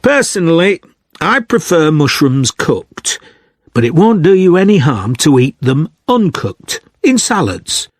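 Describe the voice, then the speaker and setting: A man playing an author who gives a measured, instructive informational talk in a scripted listening recording. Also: fairly high pitch, sing-song, dry recording, clean studio sound. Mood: measured, advising. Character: male author giving practical advice on wild mushrooms. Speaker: guest speaker on foraging.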